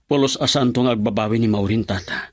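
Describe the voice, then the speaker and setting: An actor with an Ilocano accent playing a polite, hesitant young suitor in a radio drama. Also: male voice, mid-range voice, fast, natural voice. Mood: respectful, nervous. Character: polite, hesitant young suitor addressing his sweetheart's father.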